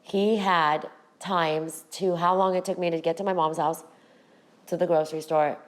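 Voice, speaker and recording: A middle-aged woman with a raspy voice, hosting her own podcast and talking frankly to her viewers about her past. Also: lowish voice, steady tone, normal speed. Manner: candid, direct address to viewers. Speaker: podcast host. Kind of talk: personal storytelling to camera.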